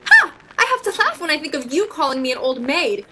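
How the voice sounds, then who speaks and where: Woman acting haughty, high-pitched young shop woman. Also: very animated, brisk, pushed and bright, a little hiss or hum, exaggerated voice. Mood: indignant. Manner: haughty, cutting, theatrical. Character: proud, scornful young shop woman in vintage romantic comedy. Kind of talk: vintage radio play acting.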